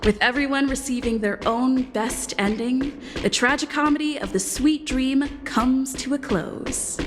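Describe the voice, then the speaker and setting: High-voiced grand game narrator. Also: female voice, measured pace, background noise. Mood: composed, grand. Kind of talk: scripted story recap narration for a game. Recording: long reverb, music behind.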